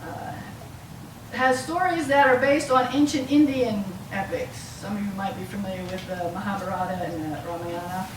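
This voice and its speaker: Female academic lecturer, halting and explanatory, recorded from a distance in a roomy space with clear background noise. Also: mid-range voice. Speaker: university lecturer. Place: lecture room, mic some way off.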